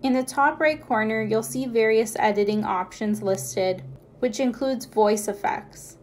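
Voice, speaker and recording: A woman's voice: fairly high pitch, a little slow. A woman reading scripted how-to narration as a tutorial narrator.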